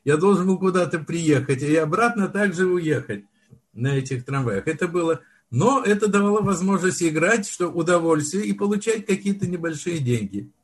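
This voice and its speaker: An older man with a husky, breathy voice that sits high for a man. He reminisces at an easy pace, often stopping and restarting mid-word as he recalls details. It sounds like a relaxed conversational interview. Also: moderate pace. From Soviet Union. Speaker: film director, interview guest. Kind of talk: unhurried storytelling in conversation.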